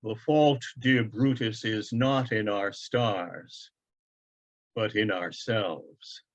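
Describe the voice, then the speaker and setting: A man playing a gentle, wise father who speaks warmly and reverently to his young son in a storybook reading. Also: fairly low pitch. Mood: firm, solemn. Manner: warm, reverent, deliberate. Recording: dull, lo-fi online event audio.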